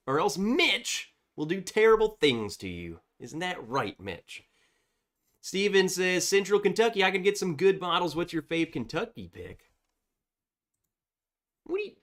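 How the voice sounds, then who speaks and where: Lively congested man hosting a livestream. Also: fairly high pitch. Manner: reads viewer chats aloud, chatty and upbeat. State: congested from a cold. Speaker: livestream host. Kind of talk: casual livestream chat.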